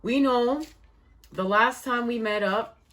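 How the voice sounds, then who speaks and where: A woman giving a spiritual tarot reading, her voice worn and fading, speaking in slow, soothing, repetitive phrases. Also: fairly high pitch, fairly bright tone. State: losing her voice. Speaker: tarot reader.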